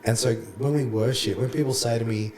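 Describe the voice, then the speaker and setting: A man who has lost his voice and sounds croaky, chatting casually as a guest worship pastor, calling people mate and bro. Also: medium pitch, steady tone, fairly quick, a little mellow. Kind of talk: casual podcast conversation. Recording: echoey room, faint background noise.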